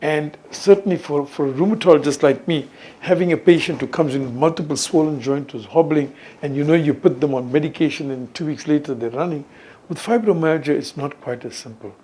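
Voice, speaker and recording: An older man giving an unscripted, deliberate talk to students as a professor, repeating phrases for emphasis. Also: fairly high pitch, warm tone, slightly rough. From South Africa. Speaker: professor and rheumatologist speaking on a panel.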